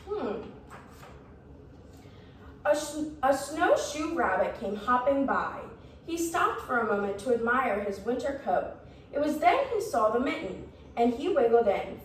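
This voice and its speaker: A woman reads a children's picture book aloud in a highish, very animated voice. She breaks off from the narration to speak directly to young listeners and point out pictures. The recording is very echoey, picked up from some distance. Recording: roomy, echoing, distant mic, faint noise.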